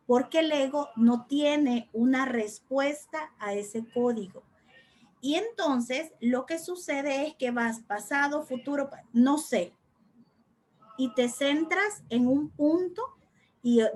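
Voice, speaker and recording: A woman's voice: fairly high pitch, a little mellow, slight background noise, poor audio. A woman teaching a spiritual study class, speaking reflectively and repeating phrases for emphasis. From El Salvador.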